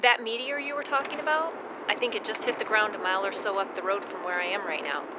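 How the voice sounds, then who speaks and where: A woman playing an excited radio journalist phoning in a live report from the roadside in a radio drama. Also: mid-range voice, quick pace, natural voice. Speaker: on-scene radio caller. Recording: noisy outdoor phone call with vehicle ambience.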